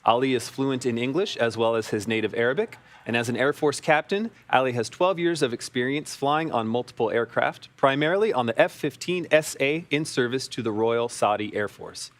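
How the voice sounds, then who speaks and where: A man's voice: medium pitch, brisk, few pauses. Guy cohosting a space broadcast, reading crew bios pretty evenly. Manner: even, scripted delivery. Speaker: broadcast co-host.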